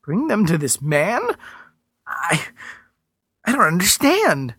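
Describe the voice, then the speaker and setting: A man's voice: on the high side, big pitch swings, slow, bright, forceful tone, husky, no echo, clean studio sound, voice pushed up, full character voice. A man voicing a frightened, devoted man who pleads and whimpers in a raised voice. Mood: pleading.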